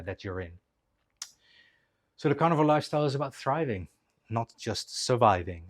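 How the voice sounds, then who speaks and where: A man of about fifty giving a loose, chatty presentation, with many restarts as he talks. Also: lowish voice. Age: 50s. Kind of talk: casual live presentation. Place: clinic presentation room.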